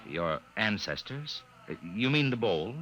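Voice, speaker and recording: An actor playing a polite, curious young junior officer who also narrates, in 1950s radio style. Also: male voice, on the low side, sing-song, a little slow, a bit bright, slight background noise. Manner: deferential, inquisitive, hesitant in dialogue. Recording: vintage 1950s radio drama recording.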